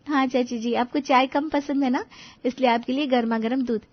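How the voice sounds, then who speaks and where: An eager, naive young wife from an animated family tale, voiced by a woman. Her high voice is earnest and respectful. The recording is dry and a little dull. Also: normal speed, dry recording. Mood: eager, proud. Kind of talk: animated moral-story dialogue.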